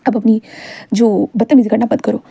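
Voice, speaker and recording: Casting note: easygoing, teasing young man, read by a woman, coaxing, dark and husky. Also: a little high, little pitch movement, normal speed, dry recording. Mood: calm, teasing. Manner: coaxing, plaintive.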